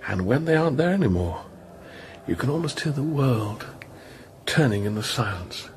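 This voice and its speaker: A man playing a gentle, probing priest in a radio drama, speaking thoughtfully with careful questions and pauses. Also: medium pitch, big pitch swings, slight background noise. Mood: gentle, wondering. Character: gentle, probing priest, an investigator sent from Rome. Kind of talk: naturalistic radio drama acting.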